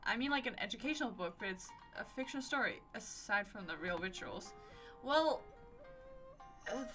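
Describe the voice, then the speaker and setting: A woman's voice: medium pitch, pushed and bright. A woman streamer chatting casually over a game, playful, rambling and reactive, with music behind her. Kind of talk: casual live stream commentary. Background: video game music.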